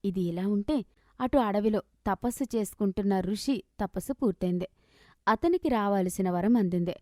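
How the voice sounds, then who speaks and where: A woman narrating a scripted moral story as an expressive, dramatic storyteller. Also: mid-range voice, normal speed, no echo, studio quality.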